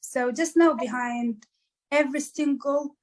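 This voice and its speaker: A woman's voice: high-pitched, steady tone, a little slow. A woman from East Africa, a musician guest, answering interview questions in an earnest, motivational way with lots of "like" fillers.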